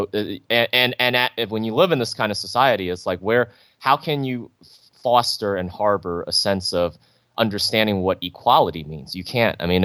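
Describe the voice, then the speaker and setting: A man calling in to a show, agreeing readily and speaking haltingly with many restarts. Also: lowish voice, a bit bright, no room sound, clean studio sound. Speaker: caller. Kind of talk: call-in phone conversation.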